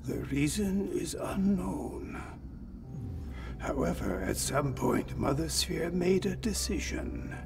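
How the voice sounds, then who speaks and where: A solemn android elder from a video game, with a gravelly, unhurried voice. He speaks formally and cryptically, with music playing behind him. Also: a little high, very animated, far from the mic, exaggerated voice. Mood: solemn, cryptic. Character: solemn old android leader revealing ancient truths. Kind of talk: cinematic video game dialogue.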